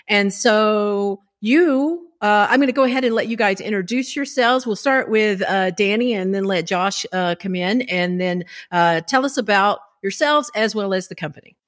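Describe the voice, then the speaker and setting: A woman's voice: medium pitch, moderate pace, few pauses. Brief: female co-host, easygoing and friendly, casual interview chat. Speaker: podcast co-host.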